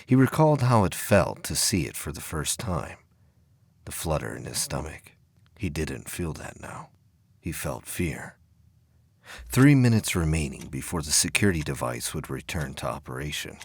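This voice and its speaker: A man's voice: very deep voice, very animated, normal speed, vocal fry, slightly rough, dry recording. A man narrating a sci-fi audio play in an intense, suspenseful, scripted dramatic style.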